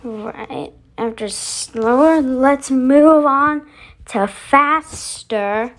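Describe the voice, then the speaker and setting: Girl or woman hosting her channel, kinda high voice, takes it slow, chatty demo. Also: a bit bright. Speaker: channel host. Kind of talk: casual demo of voice effects to camera.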